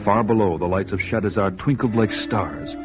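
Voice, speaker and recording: A man's voice: lowish voice, moderate pace, natural voice. An actor playing a bold barbarian hero, narrating his adventure in grandiose, dramatic radio style. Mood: ominous, awed. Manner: grandiose, storytelling. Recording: dull, band-limited radio recording, music behind.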